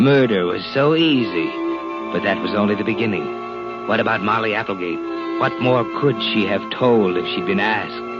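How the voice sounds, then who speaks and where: An actor playing a sinister, taunting narrator of a 1940s radio suspense drama. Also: male voice, on the low side. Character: sinister, taunting all-knowing male storyteller. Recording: muffled 1940s radio with loud music. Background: dramatic music.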